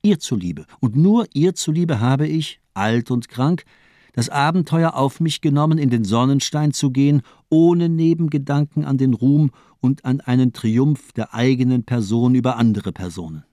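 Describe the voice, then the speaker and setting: A man's voice: mid-range voice, moderate pace, dark tone, no echo, studio quality, close to an everyday voice. A man acting in a radio drama as a fanatical, mocking doctor, declaiming with insistent, rhetorical force. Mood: taunting, insistent. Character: fanatical, mocking villainous male doctor, zealously demanding.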